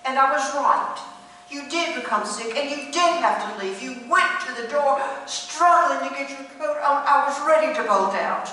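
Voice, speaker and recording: A woman's voice: high-pitched, lively intonation, normal speed, bright, forceful tone. A woman voicing a hungry, neglected talking cat in a radio play, coolly accusing and sly, with dry, pointed delivery. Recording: echoey hall from far off, faint hum.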